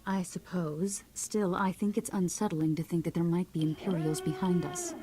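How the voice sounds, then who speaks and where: A woman's voice: fairly low pitch, fairly even intonation, moderate pace, warm tone, lightly acted. An actress voicing an anxious, polite and earnest female starship passenger in a video game. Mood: uneasy. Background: game music.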